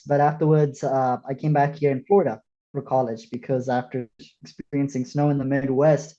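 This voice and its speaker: A young man from Bangladesh introduces himself earnestly on a podcast. His voice is very dark and mellow, and the audio sounds a little dull. He fills his pauses with "uh" and "um.". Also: medium pitch, flat delivery. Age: young adult. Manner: earnest, with frequent "uh" and "um" fillers. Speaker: guest, student CEO. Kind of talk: self-introduction in an interview.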